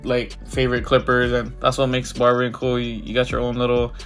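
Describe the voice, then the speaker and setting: A man's voice: medium pitch, completely flat delivery, brisk, fairly bright tone, faint music behind. A barber with a congested, stuffy voice, talking casually over his haircut tutorial. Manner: casual, loose, filler-heavy walkthrough. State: congested from a cold.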